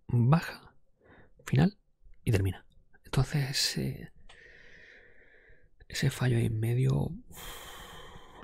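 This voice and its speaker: Male, ASMR host, soft breathy whispering, creaky fry, very dry close-mic sound, conversational, unhurried. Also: on the low side, no room sound. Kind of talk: ASMR, improvised ranking of video games.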